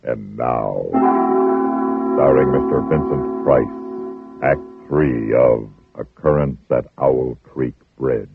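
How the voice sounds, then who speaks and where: A man's voice: extremely low pitch, slow pace, gravelly. A man announcing a radio drama in a solemn, scripted introduction. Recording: muffled old radio with loud plucked-string music.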